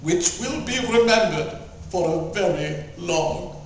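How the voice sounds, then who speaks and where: A man's voice: high-pitched, animated, slow. A man performing a theatrical poetry recital in a grandiose, declamatory, mock-solemn manner. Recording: very echoey, distant mic, faint noise.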